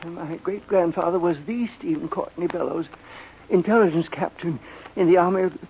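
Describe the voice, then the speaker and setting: An actor playing a small, prissy, fanatical eccentric in a radio drama, fussy and formal, stammering with indignation. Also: male voice, high-pitched, normal speed, slight background noise. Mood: indignant, fervent. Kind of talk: 1950s radio drama character acting. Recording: dull old radio recording.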